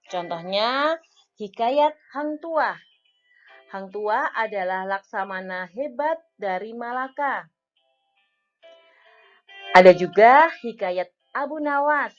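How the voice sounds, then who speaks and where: A woman teacher explaining a lesson to young schoolchildren, counting off points one by one in a clear, deliberate way. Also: fairly high pitch, expressive. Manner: slow, clear teacherly explaining with list-like enumeration. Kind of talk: recorded classroom lesson for young children. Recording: dull lo-fi audio with faint music.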